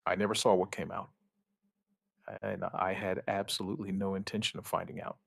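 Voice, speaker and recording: A man playing an outbreak survivor who gives a wry, weary witness account in an audio drama, in short, halting, understated phrases. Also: low voice, level tone, creaky, no echo, clean studio sound. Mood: tense, dry. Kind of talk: scripted first-person audio drama narration.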